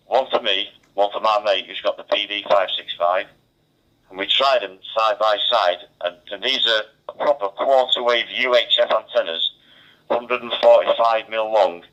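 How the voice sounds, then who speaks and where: Bright-voiced man over two-way radio. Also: fairly low pitch. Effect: two-way digital radio. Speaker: amateur radio operator. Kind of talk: casual radio chat. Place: mobile radio station. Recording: dull, band-limited two-way radio transmission.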